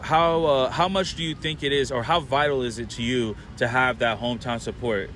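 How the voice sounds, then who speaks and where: A man's voice: mid-range voice, fairly even intonation, moderate pace, fairly bright tone, slight background noise. A young man from Orlando hosting a podcast, chatting loosely with lots of fillers and restarts. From Orlando, Florida. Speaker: podcast host. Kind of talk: casual chat.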